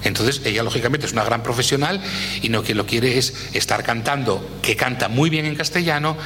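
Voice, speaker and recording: A man speaking as an expert guest in an interview, explaining at length in an animated way and often tagging phrases with a questioning "eh". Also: medium pitch, a little fast. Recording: echoey hall with faint noise.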